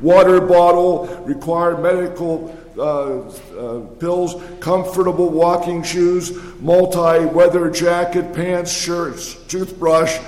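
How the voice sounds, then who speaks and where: An older man speaking as a pastor, giving a folksy, conversational sermon with halting, repeated words in a large reverberant hall. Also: high voice, steady tone, darkish tone. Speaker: pastor preaching. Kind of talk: sermon with folksy personal storytelling. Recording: echoey hall with long reverb.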